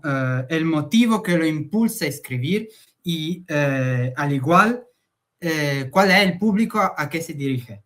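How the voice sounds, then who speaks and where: Slow, hesitant male academic speaker. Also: on the high side, a bit bright. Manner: slow, hesitant, frequent "eh" fillers. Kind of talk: conference talk.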